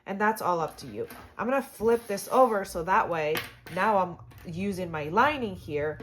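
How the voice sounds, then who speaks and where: A woman hosting a sewing tutorial, chatty and upbeat with lots of fillers, her voice hoarse and cutting in and out. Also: mid-range voice, lively intonation, normal speed, a little hiss or hum. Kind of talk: casual how-to demonstration.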